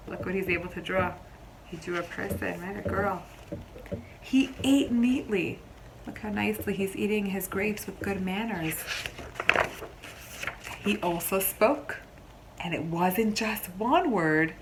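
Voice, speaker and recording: A woman teacher reading a picture book aloud to young children, expressively, pausing to explain simply and ask questions. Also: mid-range voice, vocal fry, a little hiss or hum, low-quality recording. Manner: expressive storybook delivery, explains simply, pauses to question listeners.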